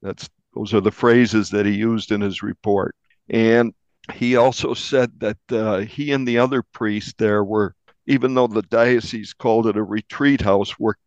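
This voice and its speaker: A man speaking as a journalist in an interview, giving a measured account of a case. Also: lowish voice, no echo, highs cut off. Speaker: investigative journalist being interviewed.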